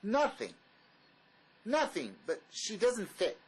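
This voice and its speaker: A man's voice: sits high, huge pitch swings, takes it slowly, slight background noise, exaggerated voice. A man acting a bitter, blunt fugitive drifter in a dramatic script reading, sarcastic and cutting. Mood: blunt, impatient.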